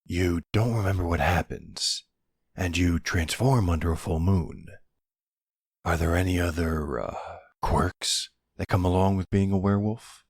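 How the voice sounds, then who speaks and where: A man playing a supportive, puzzled boyfriend in an intimate audio roleplay, speaking in a hesitant, gently questioning way with a husky voice. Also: sits low, unhurried, no room sound, studio quality. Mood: surprised, curious.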